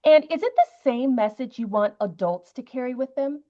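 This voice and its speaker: A woman interviewing a guest on stage in a halting, appreciative, conversational way. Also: sits high, lively intonation, highs cut off. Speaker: interviewer, middle school librarian.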